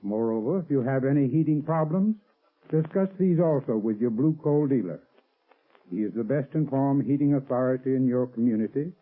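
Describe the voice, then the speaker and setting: A man's medium-pitched voice on a narrow, tinny vintage radio recording. He delivers a scripted sponsor's talk in 1930s style, formal and measured, explaining things like an instructor. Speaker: sponsor's heating expert.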